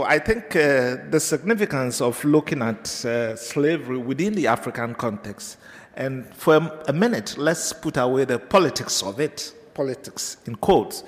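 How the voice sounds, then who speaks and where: Ghanaian man, guest lecturer, slow unhurried delivery, halting with lots of uh's, lecture hall reverb. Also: mid-range voice. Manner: hesitant, many filler pauses, digressive. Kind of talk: unscripted academic lecture.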